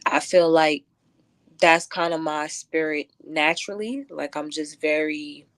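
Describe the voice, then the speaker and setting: A woman answering radio interview questions as a guest poet, thoughtful and halting, often filling with "like". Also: low-pitched, fairly even intonation, no echo. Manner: thoughtful, halting, many filler likes. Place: close-miked radio show.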